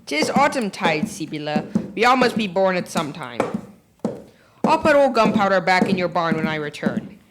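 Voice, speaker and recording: A lively, swooping voice for a vain and nervous colonial schoolmaster acted by a female player. The speech is florid and old-fashioned, in radio play style. Clear noise and clicks sit on the recording. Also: lowish voice, normal speed. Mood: polite, uneasy.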